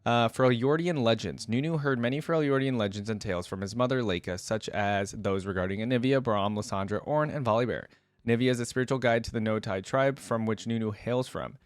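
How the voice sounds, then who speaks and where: A man's voice: mid-range voice, fairly quick, no echo, clean studio sound. A man hosting a casual reaction video, reading reference text aloud and adding easygoing asides of his own. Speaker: host of a game lore reaction channel.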